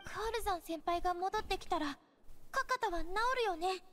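A tiny, sweet girl companion from an anime game. The voice is squeaky, extremely high and quick, eager and childlike, under loud background noise. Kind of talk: Japanese anime game acting.

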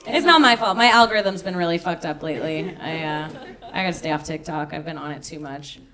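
A young woman doing stand-up on a club stage into a handheld mic, dropping dark punchlines in a chatty, deadpan way. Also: deep voice, fairly quick, a crowd in the background. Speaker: stand-up comedian. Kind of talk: stand-up comedy set. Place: comedy club stage before a live audience. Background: audience laughter.

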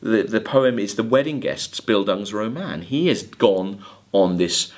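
A man giving a literature lecture, emphatic, halting and deliberate as he corrects himself. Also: on the low side, expressive. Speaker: college English literature lecturer. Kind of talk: lecture with quoted lines of verse. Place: indoors, recorded video lecture at a desk.

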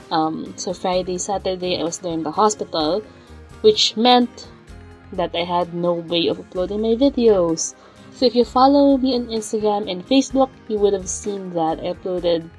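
A young woman with a raspy voice from a lingering cold, chatting in a rambling way as the host of her art channel. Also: lowish voice, poor audio. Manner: rambling, chatty, with fillers and sighs. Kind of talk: casual chat over drawing footage.

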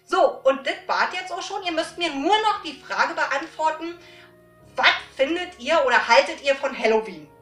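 Woman doing a chatty vlog, high voice, animated, bit of room echo. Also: normal speed. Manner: animated, gesturing, frequent tag questions. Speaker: host of a book giveaway vlog. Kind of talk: chatty, informal presenting to viewers. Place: small indoor room lined with books. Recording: roomy echo, faint music.

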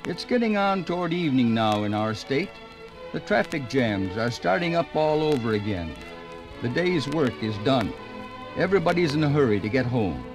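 Folksy old-timer, plain-spoken and hopeful, swinging pitch, music behind, 1950s radio record. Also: male voice, big pitch swings, moderate pace, rough recording. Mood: cozy, chatty. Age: older. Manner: chatty, folksy, addressing listeners directly. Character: folksy old Minnesota working man, homespun and hopeful. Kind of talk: 1950s radio drama narration.

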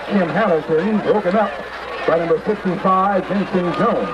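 A man calling plays over the public address at an outdoor high school football game, measured and deliberate. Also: highs cut off, crowd noise, noisy background. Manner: slow, measured call of numbers and names. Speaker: stadium public address announcer. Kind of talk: play-by-play stadium announcements.

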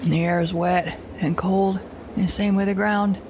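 An actress playing an anxious survivor alone in the wilderness in an audio drama monologue, halting and repeating herself, often dropping toward a whisper. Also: female voice, medium pitch, fairly even intonation, darkish tone, a little hiss or hum, natural voice. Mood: weary. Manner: halting, repetitive, drifting into breathy whispers.

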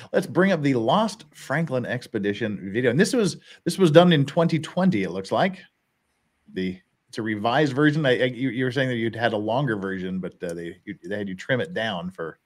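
A man's voice: mid-range voice, expressive, moderate pace. A middle-aged man hosting a casual livestream from a home studio, chuckling and reading chat comments aloud. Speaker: host. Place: home studio in front of a backdrop. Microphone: headset.

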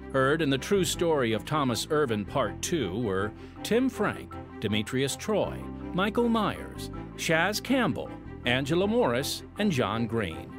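A man hosting a podcast in a friendly, chatty manner, reading announcements from a studio mic. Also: a little high. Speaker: podcast host. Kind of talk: podcast host segment and announcements.

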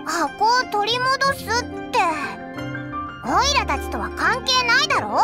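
A woman's voice: very high voice, sing-song, a little slow, loud music behind the voice, heavily acted. A woman voicing a tiny childlike fairy companion in an anime game, talking in a blunt, cheeky, childish way. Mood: complaining. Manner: blunt, childish, rough informal speech.

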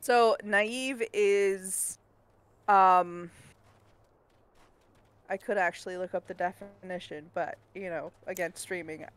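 A woman from Hawaii who works as a translator, chatting casually with a measured delivery and frequent pauses and fillers. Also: mid-range voice, steady tone, fairly bright tone, smooth. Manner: measured, thinks aloud with many fillers. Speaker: translator and co-host. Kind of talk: casual chat.

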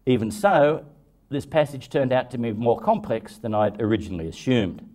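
A man in his fifties giving prepared commentary as a translator, measured and formal. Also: mid-range voice, lively intonation, dark tone.

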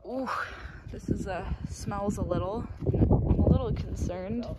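A woman's voice: mid-range voice, unhurried. A young woman hosting a vlog, talking casually and off the cuff as she explores outdoors on a handheld phone. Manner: informal, chatty. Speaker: vlog host. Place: outdoors in open dry lot and street. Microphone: handheld phone at arm's length. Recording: clear outdoor background noise.

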